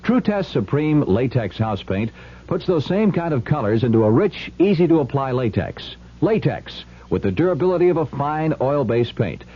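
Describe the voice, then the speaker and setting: Husky, animated male radio spokesman. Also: mid-range voice, normal speed. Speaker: commercial spokesman. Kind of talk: scripted radio advertisement. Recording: muffled old radio broadcast.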